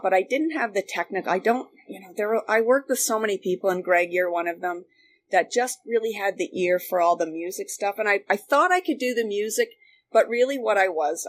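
Canadian woman reminiscing, noisy recording. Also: medium pitch. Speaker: guest, former radio worker. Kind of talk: casual reminiscing conversation. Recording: noticeable background noise.

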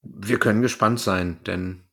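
A man's voice: lowish voice, fairly even intonation, unhurried, creaky, fairly bright tone. A man co-hosting a podcast, casually recapping and reviewing in a loose, conversational way. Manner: conversational, loosely retelling from notes. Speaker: podcast co-host.

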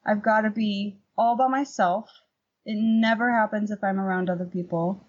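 A middle-aged woman with a husky voice, talking candidly and informally as an interview guest, rambling a little. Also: medium pitch, steady tone, normal speed, muffled recording. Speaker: painter, podcast guest. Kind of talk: casual interview chat.

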